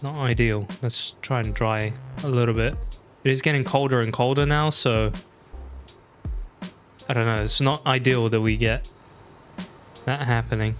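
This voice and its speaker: A young man talks loosely over his gameplay, with faint music playing underneath. His voice sits in an ordinary middle pitch. He laughs easily and keeps slipping in self-mocking asides. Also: steady tone, unhurried, long pauses. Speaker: gaming YouTuber. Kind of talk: casual let's-play commentary.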